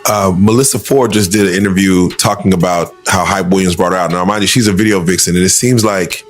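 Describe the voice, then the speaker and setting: A man's voice: deep voice, fairly even intonation, fairly quick. A man giving casual commentary. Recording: faint music behind voice.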